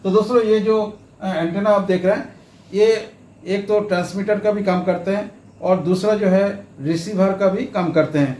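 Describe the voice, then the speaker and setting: A man giving a step-by-step tutorial, explaining haltingly and repeating himself, with English terms mixed in. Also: sits high, fairly even intonation, warm tone. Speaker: instructor, tutorial presenter. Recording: roomy echo, faint background noise.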